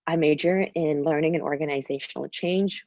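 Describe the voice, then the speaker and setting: A woman's voice: deep voice, fairly even intonation, normal speed, darkish tone, no echo. A young woman from Shanghai hosting a student webinar in a chatty, casual way, with plenty of fillers. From Shanghai, China. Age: young adult. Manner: chatty, many fillers and "kind of". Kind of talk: casual presentation. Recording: dull, dry video call audio.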